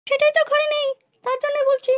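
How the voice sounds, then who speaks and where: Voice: very high-pitched, level tone, fast talker, fairly bright tone, dry recording, studio quality, pitched above the performer's own voice. A man voicing a nagging village wife in a comic cartoon.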